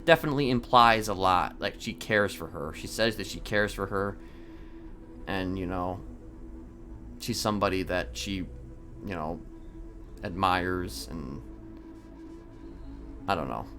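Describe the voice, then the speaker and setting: A man in an improvised in-character roleplay conversation, talking haltingly and often restarting his phrases. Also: deep voice, music in the background. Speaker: roleplay game player.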